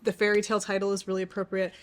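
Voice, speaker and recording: A woman's voice: medium pitch, completely flat delivery, very fast. A woman co-hosting a book channel, chatting casually and playfully with emphatic enthusiasm. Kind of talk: casual playful chat, book guessing game.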